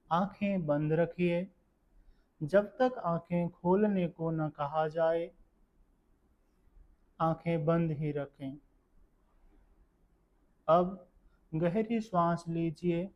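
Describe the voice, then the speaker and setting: A man guiding a meditation session in a calm, measured, instructional manner. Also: a little high, highs cut off. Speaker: yoga nidra meditation guide. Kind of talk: guided meditation narration.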